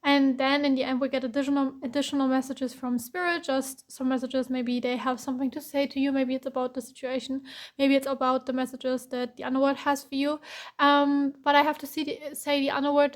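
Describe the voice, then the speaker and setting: A woman from Germany giving a casual tarot reading, hoarse with a cracking voice, reassuring and repeating herself. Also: sits high, flat delivery, brisk. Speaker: tarot reader.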